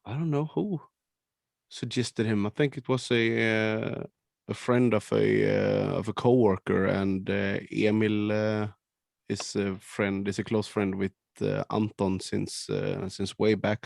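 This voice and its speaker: A Swedish man with a Swedish accent, a band's singer, answering interview questions in halting speech full of ums and restarts. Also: on the low side, fairly even intonation, creaky, darkish tone, no echo, studio quality. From Sweden. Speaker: band founder and singer. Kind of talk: casual interview over a call.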